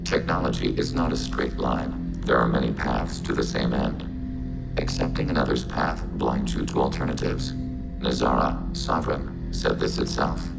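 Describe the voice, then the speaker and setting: A very deep, gravelly robot. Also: male voice, normal speed, exaggerated voice, low-quality recording. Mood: calm, explanatory. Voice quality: synthetic, machine-like. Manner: clipped, formal, emotionless phrasing. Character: calm, literal robot speaking as a collective mind. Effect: robotic voice processing. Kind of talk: video game voice acting. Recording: loud music bed underneath.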